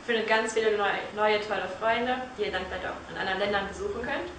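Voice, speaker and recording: A young woman speaks eagerly and cheerfully about her stay abroad, her voice bright with enthusiasm. She is recorded from a distance in a big indoor lobby, which adds a roomy echo and a faint background hiss. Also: mid-range voice, fairly even intonation, fast talker. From northern Germany. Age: young adult. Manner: cheerful, eager, laughs often. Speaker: language school student. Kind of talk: casual testimonial chat. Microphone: far camera. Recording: echoey room, distant mic, faint hiss.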